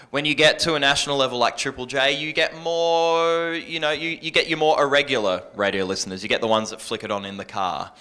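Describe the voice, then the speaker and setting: Bright-voiced man giving panel advice. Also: medium pitch, clean tone. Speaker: radio presenter and music programmer on an industry panel.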